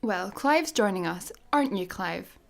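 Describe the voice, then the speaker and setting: An actress playing a keen, chirpy young space traveller in a radio sitcom, eager and coaxing. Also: female voice, medium pitch, lively intonation, unhurried, gravelly. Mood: breezy.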